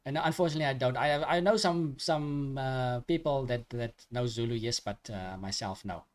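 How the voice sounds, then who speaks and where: A man from Africa, co-commentating a live online chess match, hesitant with many pauses, reading questions from the chat. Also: mid-range voice. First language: Afrikaans. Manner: hesitant, many ums, reads chat aloud.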